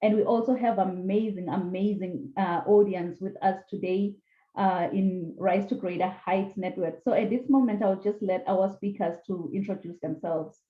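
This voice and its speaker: A woman's voice: mid-range voice, fairly even intonation, moderate pace. Enthusiastic woman host on a tinny line. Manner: enthusiastic, laughs often, repeats words. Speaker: podcast host. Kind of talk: online panel hosting. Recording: echoey room over a tinny phone-like line.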